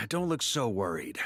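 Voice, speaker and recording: A man's voice: on the high side, full character voice. A man playing an easygoing grand master of knights in a video game, with casual, wry authority.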